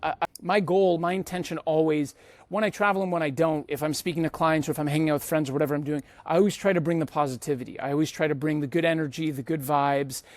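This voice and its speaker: A man's voice: fairly high pitch, no room sound. A man hosting a podcast, telling personal travel stories in a casual, slangy way and acting out bits of dialogue. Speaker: podcast host.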